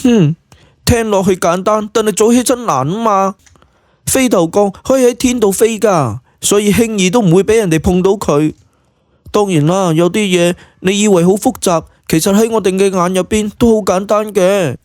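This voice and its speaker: A woman playing a bashful yet bossy young witchcraft expert in a Cantonese audio drama, expressive and huffy. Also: mid-range voice, normal speed, no room sound, studio quality, lightly acted. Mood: instructive.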